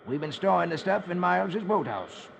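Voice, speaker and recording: A man's voice: high voice, animated, normal speed, gravelly, voice pushed up, exaggerated voice. A man playing a sly, worried old New England squire with folksy, hesitant talk in 1950s radio style. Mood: worried, scheming. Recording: muffled, noisy old radio recording.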